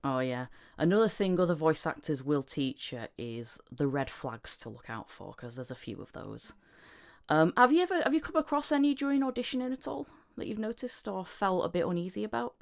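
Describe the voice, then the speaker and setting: A woman hosting a casual podcast chat, talking in a halting, rambling, self-deprecating way. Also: fairly low pitch, big pitch swings, creaky. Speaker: podcast host and voice actor.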